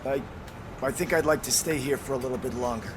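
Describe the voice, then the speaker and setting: A man's voice: medium pitch, fairly quick, noisy background, natural voice. An actor playing an uneasy, withdrawn policeman in a video game, with a hesitant, nervous way of talking. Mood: uneasy, polite.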